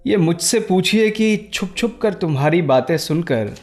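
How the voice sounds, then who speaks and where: A man's voice: high voice, expressive, normal speed, soft background music. An actor playing a vain, teasing, lovesick young man in a radio play, flamboyant and self-satisfied, dropping in English phrases. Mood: breezy, teasing. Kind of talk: Hindi radio play acting.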